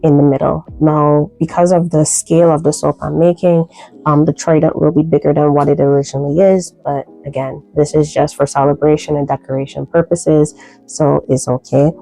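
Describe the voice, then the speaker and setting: A woman from Barbados with a softened Barbadian accent, chatting casually through a soap-making tutorial with lots of fillers. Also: low voice, normal speed, gravelly, soft background music, rough recording. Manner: relaxed, chatty, many fillers, light laughs.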